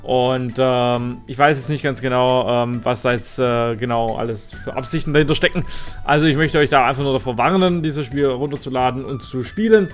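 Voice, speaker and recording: A man who is a native German speaker, hosting a casual let's play in a halting way with theatrical announcer flourishes. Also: mid-range voice, soft background music. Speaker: host of a gaming channel.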